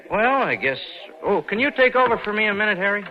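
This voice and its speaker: Lively, wry con man, old radio. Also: high-pitched, quick pace. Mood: nervous, defensive. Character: slick fast-talking male con artist and embezzler, narrating. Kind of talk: 1940s radio crime drama acting. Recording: old muffled radio recording with music behind.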